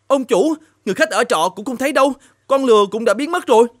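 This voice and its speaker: An actor playing an anxious, deferential servant in a cartoon folk tale, speaking in alarm. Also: male voice, very high-pitched, animated, fast talker.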